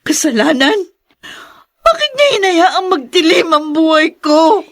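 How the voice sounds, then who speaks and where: High-voiced, tearful, wronged young woman. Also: very animated, dry recording, clean studio sound, exaggerated voice. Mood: despairing. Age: young adult. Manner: tearful, slow, emphatic. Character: devastated, defiant young woman betrayed and orphaned. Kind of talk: melodramatic radio drama acting.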